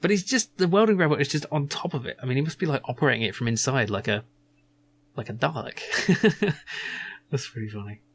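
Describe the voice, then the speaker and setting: A man's voice: mid-range voice, animated, a little fast. A man playing through a video game and commenting casually as he reads and explains. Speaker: gaming host. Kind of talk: video game playthrough with self-voiced dialogue.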